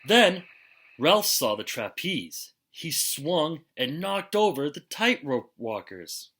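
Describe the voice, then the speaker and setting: Male storybook reader for young children, unhurried and forceful, with expressive, dramatic delivery. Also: fairly high pitch. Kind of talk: expressive children's picture book read-aloud.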